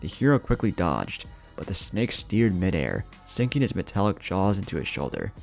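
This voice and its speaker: Man, scripted story narration, low voice, animated delivery, music behind. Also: normal speed, creaky. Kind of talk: scripted fan fiction narration.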